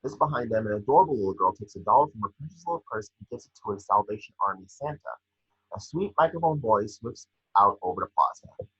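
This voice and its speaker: Raspy, low-voiced man reading screenplay narration. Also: lively intonation, fast talker, a bit bright. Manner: stumbles over the script. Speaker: scene description reader. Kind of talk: screenplay narration at an online table read. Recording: echoey room, slightly dull microphone.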